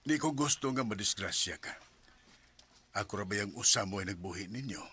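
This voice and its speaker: A man's voice: fairly low pitch, lively intonation, fairly quick, bright, forceful tone, husky, full character voice. A man with a Cebuano Filipino accent acting a protective, stern but loving middle-aged father in a radio drama. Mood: gently stern. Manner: admonishing, paternal.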